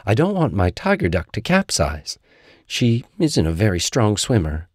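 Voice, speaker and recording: A man's voice: lowish voice, huge pitch swings, normal speed, dry recording, clean studio sound. A man voicing a small, childlike tiger who is eager and fretful, exclaiming as he goes. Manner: exclaiming, wheedling, swooping.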